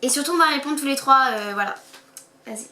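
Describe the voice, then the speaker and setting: A woman's voice: sits high, fast, pushed and bright. A teenage girl from France co-hosting a casual chat, talking with many fillers. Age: teens. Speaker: co-host. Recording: echoey room with faint noise.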